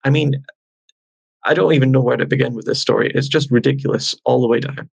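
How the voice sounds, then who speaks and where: A man's voice: mid-range voice, fast, vocal fry, husky, dry recording. A man speaking as a journalist guest in an interview, in a wry, incredulous tone. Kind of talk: interview discussion.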